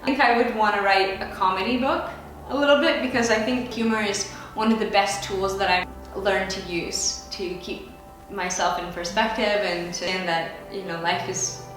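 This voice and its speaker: A woman's voice: medium pitch, fairly even intonation, moderate pace, a bit bright. Chatty American woman in an echoey room. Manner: chatty and upbeat, with frequent "like" and "you know" fillers. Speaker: ballet dancer answering viewer questions. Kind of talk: casual Q and A vlog. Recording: very echoey room, distant mic, music behind.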